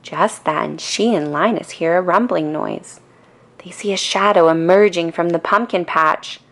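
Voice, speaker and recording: Low, creaky-voiced woman reading a bedtime story. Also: unhurried. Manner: calm, expressive storytelling. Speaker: storybook reader. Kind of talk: scripted children's bedtime story narration.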